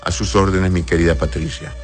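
A man with a low, deep voice speaks over background music as a doctor who hosts an advice show. He is conversational and avuncular, addressing listeners with endearments and often checking that they follow. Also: little pitch movement, brisk. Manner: avuncular, uses endearments and frequent checking tags. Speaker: doctor hosting a call-in advice show, answering listener messages. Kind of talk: conversational medical advice, reading messages aloud.

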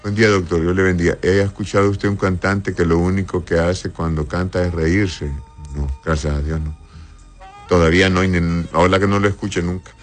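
Deep-voiced man giving medical advice over music. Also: fairly even intonation, moderate pace. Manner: explanatory, often adds a checking "right?" tag. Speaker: doctor answering listeners' health questions on a call-in show. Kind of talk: advice show, reading listener messages aloud and answering them.

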